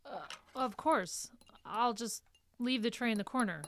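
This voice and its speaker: Higher-pitched, defensive caregiver. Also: female voice, a little slow, background noise. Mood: puzzled, curious. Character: caring, defensive female caregiver to an old doctor. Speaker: actor in a radio drama. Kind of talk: live radio drama acting, conversational.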